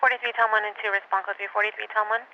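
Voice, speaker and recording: A man's voice: high voice, flat delivery, racing, background noise. A man working as a police dispatcher, giving codes and locations in clipped procedural phrases over a police radio. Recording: muffled clicky police scanner radio.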